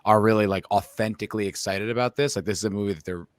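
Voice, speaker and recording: A man's voice: fairly low pitch, fairly even intonation, fast talker, no room sound, clean studio sound. A man speaking casually as a member of a discussion panel. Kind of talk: casual chat.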